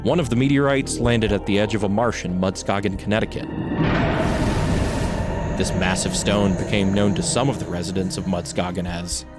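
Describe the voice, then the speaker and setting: Low-voiced male narrator over prominent music. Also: steady tone, normal speed. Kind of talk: scripted documentary-style narration. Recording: music bed under narration.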